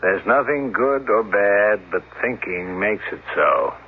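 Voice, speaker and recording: An actor playing a calm, world-weary police officer, recounting grim events in a measured, solemn way in a radio drama. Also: male voice, lowish voice, slight background noise. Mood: grave, reflective. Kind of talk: 1970s radio drama acting. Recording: narrow-band 1970s radio broadcast.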